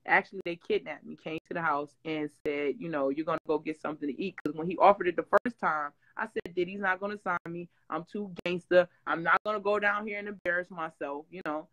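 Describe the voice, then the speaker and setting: A woman, telling her story with animated swings as a guest on a video call. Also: on the low side, fairly quick, hardly any pauses, poor audio. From Detroit. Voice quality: rough voice. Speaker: interview guest, former reality-show singer. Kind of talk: casual chat. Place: home room with green wall backdrop, video call.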